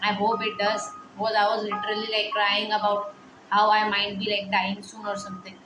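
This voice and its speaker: A woman's voice: medium pitch, completely flat delivery, fairly quick, slight background noise. A woman in her twenties talking through personal worries in a rambling, hesitant vlog, heavy on fillers. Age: 20s. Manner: quietly upset, reflective. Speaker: vlogger. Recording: echoey room with music playing behind.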